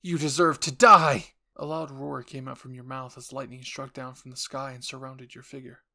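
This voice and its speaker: A man's voice: mid-range voice, little pitch movement, brisk, vocal fry, a bit bright. A man narrating an audio roleplay story in the second person with an earnest, theatrical delivery.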